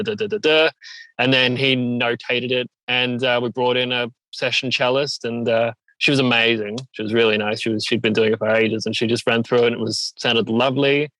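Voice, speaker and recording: A man with a bright, forward voice chats casually as an interview guest. The sound is close and dry, and he tells things in an animated way, slipping into quoted asides. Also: mid-range voice, no echo, rough recording. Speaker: interview guest, singer-songwriter. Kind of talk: casual chat.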